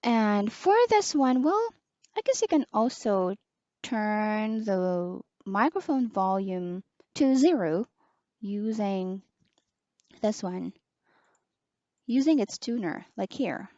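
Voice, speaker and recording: Slow, soft-spoken woman. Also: animated, no echo, lo-fi sound. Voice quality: soft low voice. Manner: slow, hesitant, many pauses and fillers. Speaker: online teacher reviewing a headset. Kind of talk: casual product review.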